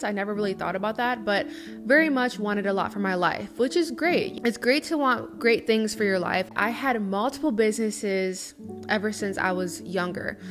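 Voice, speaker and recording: A woman in her early twenties, talking to camera in a chatty, earnest vlog, often saying "you know". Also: medium pitch. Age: early 20s. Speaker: lifestyle vlogger. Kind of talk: casual confessional talk to camera. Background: guitar music underneath.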